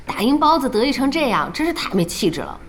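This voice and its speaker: A woman's voice: high-pitched, sing-song, fast talker, faint music behind. A woman playing a spirited, forthright young woman in a radio drama, direct and insistent. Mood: scolding. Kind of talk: Chinese radio drama acting.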